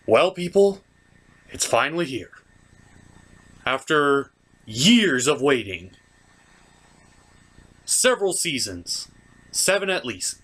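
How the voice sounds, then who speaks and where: Slow, theatrical man with animated pitch. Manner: dramatic pauses and emphasis. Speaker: host, comic movie reviewer. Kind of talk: theatrical scripted review narration.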